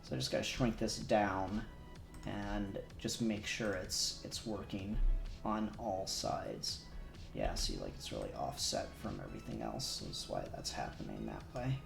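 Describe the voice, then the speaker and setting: A man's voice: deep voice, measured pace, creaky, reverberant, music in the background. A man casually chatting on a livestream, thinking aloud as he works on a 3D model. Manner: relaxed, thinking aloud while working. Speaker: co-host modelling on stream.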